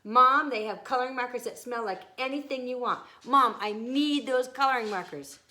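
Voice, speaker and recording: A woman's voice: a little high, normal speed, bright, forceful tone. A woman voicing an eager, pleading little girl in a storybook, with emphatic delivery.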